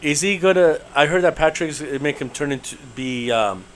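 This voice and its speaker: A man co-hosting a casual podcast outdoors under a backyard gazebo, agreeable and halting, often answering with short affirmations. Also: a little high, a little hiss or hum. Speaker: podcast co-host. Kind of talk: casual chat.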